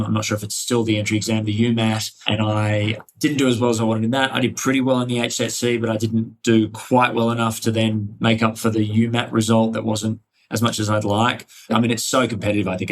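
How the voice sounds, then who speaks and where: An Australian man answering interview questions conversationally, in a reflective, discursive manner. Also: lowish voice. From Hunter Valley, New South Wales, Australia. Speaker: interview guest, cardiologist.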